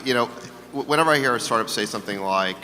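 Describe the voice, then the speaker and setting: A man's voice: mid-range voice, very fast, sharp tone, long reverb tail, slight background noise, low-quality recording. A man judging startups on a panel, blunt and confident with a cheeky edge. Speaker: panel judge and investor. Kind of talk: panel commentary.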